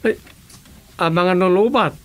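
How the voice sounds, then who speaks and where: An actor playing an earnest, deferential village official in a comedy radio drama, with an Ilocano Filipino accent. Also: male voice, high-pitched, unhurried, a bit bright.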